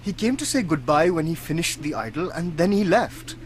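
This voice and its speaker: An actor with an Indian accent playing a defensive, resentful household employee in a radio drama, agitated and emotional. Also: male voice, fairly high pitch, very animated, fairly quick, slight background noise, poor audio. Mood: guarded.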